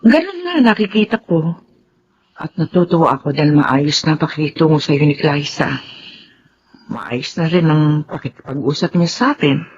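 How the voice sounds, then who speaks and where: A Filipino actress playing a gentle, concerned wife in a radio drama. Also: female voice, sits low, expressive, normal speed, slight background noise. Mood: concerned, reflective. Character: concerned, trusting wife, gentle with her husband. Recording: dull lo-fi radio sound.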